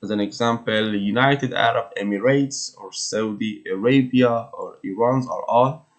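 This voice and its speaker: A man teaching English vocabulary, going through a textbook slowly and repeating words so learners catch the pronunciation. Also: lowish voice. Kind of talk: vocabulary lesson walkthrough.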